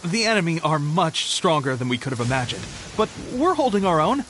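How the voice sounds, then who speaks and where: An actor playing an earnest, eager young resistance soldier in a video game, proud and respectful. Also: male voice, a little high, sing-song, fairly quick, noisy background. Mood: proud, boastful.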